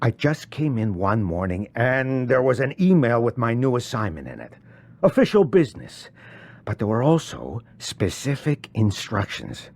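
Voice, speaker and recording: Game role: dirty cop, crude and evasive, very lively intonation, dry sound. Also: male voice, mid-range voice, normal speed, dry recording. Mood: nervous, evasive. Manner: foul-mouthed, sneering, streetwise. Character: crude, hostile, corrupt male police officer, evasive under pressure. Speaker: video game character. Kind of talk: cinematic game dialogue.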